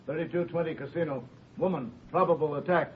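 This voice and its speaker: A man's voice: on the high side, quick pace, slight background noise, natural voice. A man acting a polite police desk officer in a 1950s radio drama, courteous and businesslike. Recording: muffled vintage radio recording, music behind.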